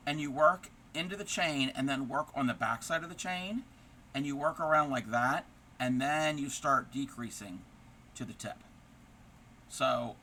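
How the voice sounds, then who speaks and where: Chatty man with a scratchy, very bright voice. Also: mid-range voice. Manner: chatty, explains stitches step by step, reads out and answers viewer comments. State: voice turning scratchy, losing his voice. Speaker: crochet livestream host. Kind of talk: casual chat while crafting, answering viewers.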